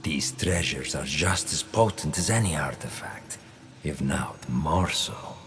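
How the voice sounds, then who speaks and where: An actor playing an arrogant, calculating commander in a game cutscene, speaking with deliberate, imperious self-assurance. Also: male voice, rumbling low, animated, fairly bright tone, faint music behind, exaggerated voice. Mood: confident, menacing. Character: commanding male schemer, arrogant, calculating, coolly menacing. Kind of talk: dramatic game cutscene dialogue.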